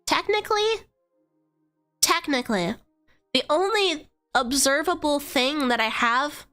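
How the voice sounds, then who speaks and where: A woman's voice: on the high side, sharp tone, smooth. A young woman streamer with a nasal voice and a stuffy cold, rambling casually, swearing freely and trailing into fillers. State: congested from a cold.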